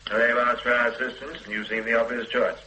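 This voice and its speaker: An actor playing a brisk, offhand senior police officer in a radio drama. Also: male voice, mid-range voice, little pitch movement, pushed and bright, dull audio, slight background noise. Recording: roomy studio echo.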